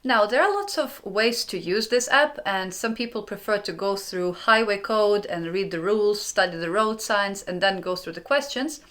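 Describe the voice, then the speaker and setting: A young woman from Ukraine, hosting her own channel, gives friendly step-by-step advice in a clear, upbeat way. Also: medium pitch, animated, moderate pace, few pauses, fairly bright tone. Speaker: channel host.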